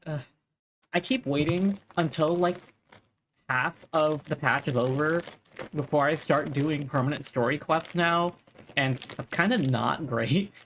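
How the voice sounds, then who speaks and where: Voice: medium pitch, a bit bright, slight background noise. A streamer giving informal, rambling live commentary on a game, thinking aloud. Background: game music.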